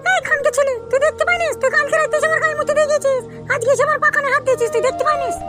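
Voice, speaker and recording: A woman's voice: very high-pitched, fairly even intonation, racing, loud music behind the voice, voice pushed up. A woman voicing a scolding, easily scandalised older mother-in-law in a comic cartoon. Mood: outraged, wailing.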